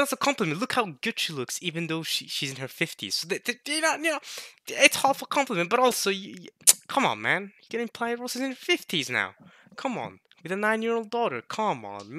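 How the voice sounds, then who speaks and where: A man in his late teens chatting casually to viewers as a video host, jokey and animated, repeating words in bursts as he rambles. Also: high-pitched, brisk. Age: late teens, turning twenty. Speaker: host of a video series reading a visual novel.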